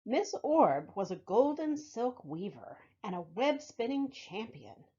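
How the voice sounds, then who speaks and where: A woman reading a picture book aloud for young children, with expressive, deliberate phrasing, as a library storytime reader. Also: fairly high pitch, breathy edge, muffled recording.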